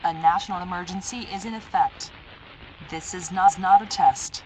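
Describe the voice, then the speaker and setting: A synthetic female voice playing a stern, impersonal emergency alert announcer, reading formal, deliberate warnings in an analog horror broadcast. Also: on the low side, darkish tone, slight background noise, natural voice, poor audio. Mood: stern, urgent.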